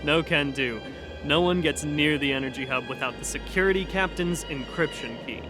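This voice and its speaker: An actor playing a stubborn, gruff male guard in a video game, blunt and dismissive. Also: fairly high pitch, moderate pace, natural voice. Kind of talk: video game character acting. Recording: game music behind the voice.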